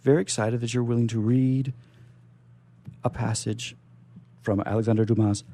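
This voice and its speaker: A man with a mellow, relaxed voice hosts a radio interview. His pitch is average, and he talks in an informal, enthusiastic way. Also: normal speed. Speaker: radio host. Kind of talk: casual on-air interview chat.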